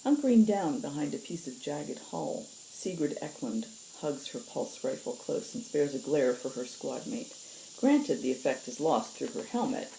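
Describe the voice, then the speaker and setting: A woman hosting a story reading, moving between casual talk and expressive narration, with insects behind her. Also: low voice, normal speed, vocal fry, a little hiss or hum, rough recording. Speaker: host reading stories aloud.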